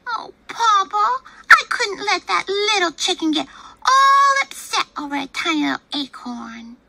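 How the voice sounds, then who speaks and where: An excitable little chicken girl from a storybook. Her voice is very high, bright and sing-song, and she takes her time while she begs and blurts out. She stays eager and sorry in the same breath. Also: a little slow, gravelly, dry recording, exaggerated voice, low-quality recording. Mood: pleading. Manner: high childlike voice, pleading and bursting in. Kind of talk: read-aloud of a picture book.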